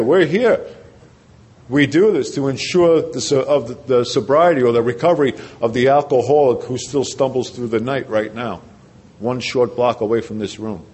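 An older man speaks to a packed convention hall, sharing his own recovery story. His voice sits at an ordinary middle pitch. He stays conversational and pokes fun at himself, then sinks his voice low and quiet when a point matters. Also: breathy edge. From New Jersey. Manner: conversational and self-deprecating, drops his voice low and quiet for emphasis. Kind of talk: personal testimony talk.

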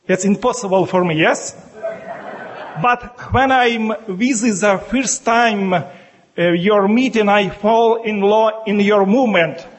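A man from Ukraine speaking in halting, broken English with a Ukrainian accent, a bishop and monk addressing a meeting as guest speaker. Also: high-pitched, a little hiss or hum. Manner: searching for words in broken English.

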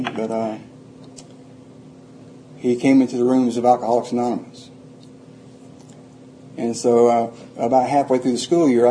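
A man's voice: medium pitch, warm tone, a little hiss or hum, low-quality recording. An older man, telling his life story plainly to a group, rambling and restarting often. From Atlanta, Georgia, USA. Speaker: recovery speaker.

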